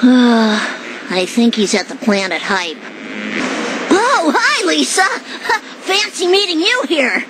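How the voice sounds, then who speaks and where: A woman's voice: high voice, big pitch swings, takes it slowly, full character voice. A woman voicing a nerdy, lovesick young boy, hesitant and awkward, in a cartoon game. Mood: dejected, then smitten. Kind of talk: animated game cutscene dialogue. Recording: loud noise behind the voice.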